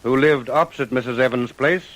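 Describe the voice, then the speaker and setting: A man narrating a scripted radio drama in a measured storytelling manner. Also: medium pitch, a bit bright, low-quality recording.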